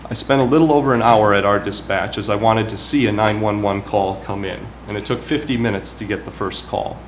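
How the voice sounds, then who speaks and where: A man with a fairly low voice delivers prepared remarks as a councilman at a town meeting. He is formal and deliberate in his phrasing. Clear background noise runs through the meeting room recording.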